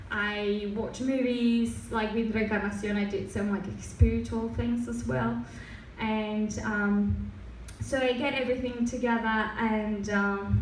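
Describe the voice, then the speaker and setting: A young woman from Mexico, a native Spanish speaker with a Mexican accent softened by Australian years, giving a casual design talk full of fillers and restarts. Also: mid-range voice. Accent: Mexican, with some Australian. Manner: many fillers and restarts, loose and chatty. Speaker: design presenter. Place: event room. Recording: roomy, distant, faint noise.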